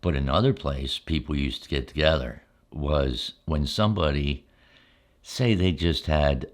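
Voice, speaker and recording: Older male, rock-bottom bass pitch, for relaxed ASMR storytelling; casual, halting, folksy delivery. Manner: unhurried, halting phrases with folksy asides.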